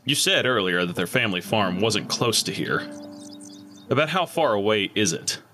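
A man's voice: lowish voice, lively intonation, normal speed, sharp tone. A man playing a world-weary, skeptical murder investigator in an audio drama, terse and matter-of-fact. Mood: weary, probing. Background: music.

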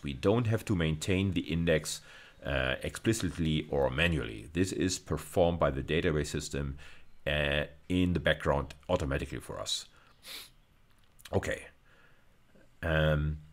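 Male lecturer, middle-aged, extremely deep and animated in intonation, hesitant explanatory delivery for a technical video course. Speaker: university lecturer.

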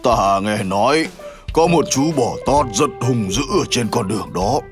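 A man voicing a slow, thoughtful old goat with a husky voice in a children's cartoon. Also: mid-range voice, animated, close to an everyday voice. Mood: cautioning. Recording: music under the voice.

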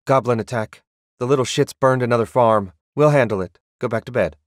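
A man voicing a spirited, tough young woman warrior with a brisk, emphatic manner. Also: medium pitch, no echo, lightly acted. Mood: angry, urgent.

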